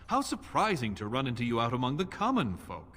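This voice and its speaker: An actor playing an easygoing younger dwarf prince in a video game, with a dry, sarcastic manner. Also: male voice, mid-range voice, huge pitch swings, a little fast, fairly bright tone, slight background noise. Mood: sardonic.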